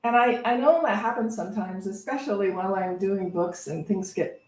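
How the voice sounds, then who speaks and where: A woman's voice: medium pitch, darkish tone. Echoey, conversational woman reverend, slightly distant. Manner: reflective, anecdotal, halting with repeated phrases. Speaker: reverend giving a spiritual talk. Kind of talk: conversational, unscripted inspirational talk. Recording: echoey room, distant microphone.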